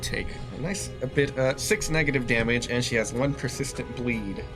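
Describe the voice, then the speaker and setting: A man's voice: mid-range voice, normal speed, loud music behind the voice. A man describing his moves casually as a player in a tabletop game.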